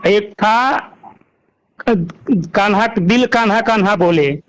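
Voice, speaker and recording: A man's voice: high-pitched, takes it slowly, a bit bright, rough recording. A man with an eastern Indian Bhojpuri-belt accent answering as a singer in an informal interview, emphatic and repeating himself. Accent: Bhojpuri-Magahi belt Hindi, eastern India.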